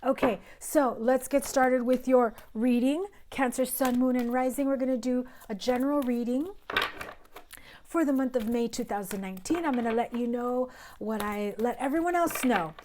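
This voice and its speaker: A woman doing a tarot reading for her viewers, her voice raspy and hoarse while she recovers, speaking earnestly and sometimes dropping to a whisper. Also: a little high, normal speed, darkish tone, a little hiss or hum. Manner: earnest and emphatic, drops to whispers, occasional cussing. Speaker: tarot reader.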